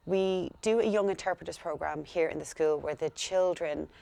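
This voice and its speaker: A young woman working as a school liaison coordinator, explaining her work in a steady, earnest way. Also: deep voice, normal speed, vocal fry. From Ireland. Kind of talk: speaking to camera about her work.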